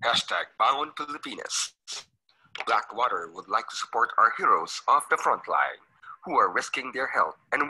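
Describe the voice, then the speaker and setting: A man with a husky voice reading a scripted promotional announcement. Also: mid-range voice, sharp tone, poor audio.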